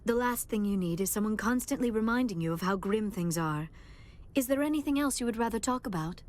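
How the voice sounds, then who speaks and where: A woman playing a gentle, earnest crewmate in a video game, with careful, formal diction. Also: mid-range voice, animated, brisk, lightly acted. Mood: concerned, tender.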